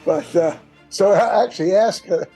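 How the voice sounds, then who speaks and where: A man's voice: high voice, moderate pace, warm tone, faint music behind. An older man talking casually as a radio guest, telling stories with many digressions. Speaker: radio panel guest, author. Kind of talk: casual discussion.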